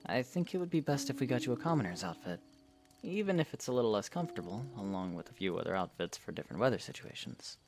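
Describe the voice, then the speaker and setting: A man's voice: medium pitch, lively intonation, fast talker, a little mellow, close to an everyday voice. A man playing a considerate, reserved young knight in a fan audio drama, speaking in an earnest, hesitant way. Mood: practical, considerate. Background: music.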